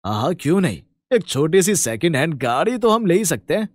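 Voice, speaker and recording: A man playing a mild, sensible, apologetic husband in a cartoon story, measured and gentle. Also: fairly high pitch, big pitch swings, dry recording, studio quality. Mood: reasoning.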